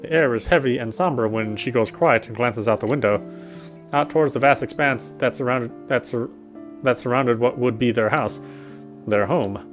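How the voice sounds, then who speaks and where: A man with a mid-pitched voice reads story narration aloud from a game. He stumbles now and then and goes back to correct himself. Faint music plays behind him. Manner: halting, self-correcting reading. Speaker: gamer reading a visual novel aloud.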